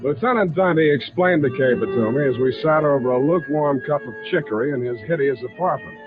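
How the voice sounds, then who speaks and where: Male actor, wry hard-boiled detective, deadpan radio noir narration over music, thin vintage sound. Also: a little high, fast, close to an everyday voice. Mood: mock grand. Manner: sardonic, deadpan. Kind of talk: 1940s radio acting, first-person noir narration. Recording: old lo-fi radio recording with music.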